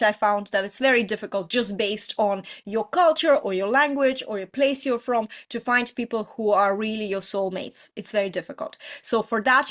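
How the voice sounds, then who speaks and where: A middle-aged woman, a coach giving an earnest, informal astrology teaching talk with frequent restarts. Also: a little high. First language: Russian. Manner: earnest, explains with many restarts and "you know"s. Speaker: prosperity coach.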